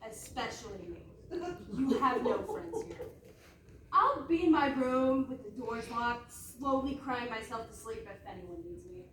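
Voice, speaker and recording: Scheming high-voiced Cinderella, played by a woman, echoey stage. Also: animated, unhurried, fairly bright tone. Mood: menacing, melodramatic. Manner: commanding, melodramatic. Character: scheming, villainous Cinderella, dramatic young princess. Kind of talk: comic stage play acting. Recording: echoey room, distant mic, noisy.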